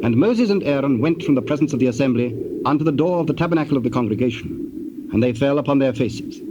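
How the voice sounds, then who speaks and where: A man narrating scripture in a formal, solemn and measured manner, as part of a dramatized reading. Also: medium pitch, animated. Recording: dull, noisy old cassette tape.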